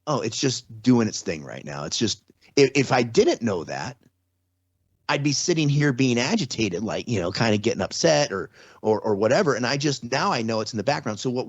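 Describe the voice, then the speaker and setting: A middle-aged man in relaxed conversation who rambles and restarts his sentences as he works toward his point. The recording is dry and a little dull. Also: mid-range voice, no echo. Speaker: podcast guest. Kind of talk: casual conversation. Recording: slightly dull, dry sound.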